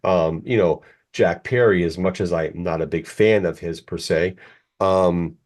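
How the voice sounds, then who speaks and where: A man's voice: deep voice, a little slow, darkish tone. A man in his forties co-hosting a podcast in a casual, rambling, opinionated and informal way. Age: 40s. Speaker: podcast co-host. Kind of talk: casual chat.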